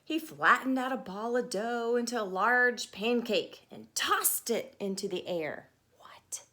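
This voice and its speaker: A woman storytime teacher reading a picture book to children, expressive and deliberate, with chatty asides and questions. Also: fairly high pitch.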